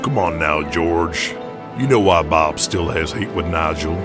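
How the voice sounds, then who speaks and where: A man's voice: low voice, a little slow, loud music behind the voice. An actor playing a blunt, foul-mouthed wrestling promoter who taunts his colleagues in an audio drama. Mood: scornful, goading.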